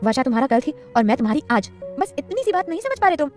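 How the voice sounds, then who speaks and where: Woman voicing a high, lovestruck young wife. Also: big pitch swings, rapid-fire, a little mellow, faint music behind. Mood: determined. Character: shy, lovestruck young wife, childlike complaining, turning boldly playful.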